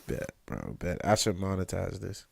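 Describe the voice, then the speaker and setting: A young man hosting a casual interview, joking around, laughing, and loudly protesting in fun. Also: low-pitched, sing-song, moderate pace, gravelly, husky. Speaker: interviewer, channel host.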